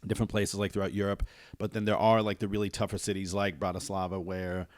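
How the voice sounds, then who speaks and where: A man's voice: low voice, flat delivery, fast talker. A comedian from the Netherlands who speaks with an American accent, chatting casually as a podcast guest and laughing through his stories.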